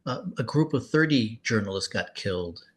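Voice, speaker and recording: A man's voice: on the low side, expressive, normal speed, fairly bright tone. A man chatting casually as an interviewer, earnest and rambling, often repeating the same filler tags. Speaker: cartoonist interviewing a peer.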